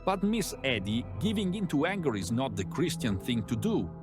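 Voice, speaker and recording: A man playing a collected, gentle priest in an audio drama, speaking calmly and gently. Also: medium pitch, normal speed, natural voice. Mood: calm reproach. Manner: calm, gentle. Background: music.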